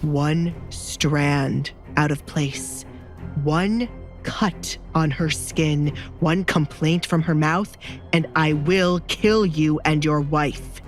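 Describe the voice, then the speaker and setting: A woman playing a cold, commanding duke in an audio drama, speaking slowly and deliberately with calm menace. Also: low-pitched, soft background music. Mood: quiet menace. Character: cold, menacing young duke, calmly threatening man.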